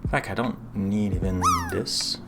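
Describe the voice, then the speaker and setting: A man's voice: sits low, steady tone, moderate pace, over loud music. A man with a French accent, casually chatting through a live coding stream, rambling with lots of fillers and restarts.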